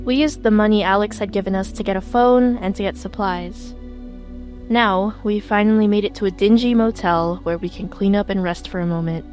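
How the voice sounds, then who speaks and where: A woman's voice: mid-range voice, steady tone, a little fast, darkish tone, faint music behind, lightly acted. A young woman voicing a worried, take-charge leader of a group on the run in a webtoon fan dub. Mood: narrating, weary.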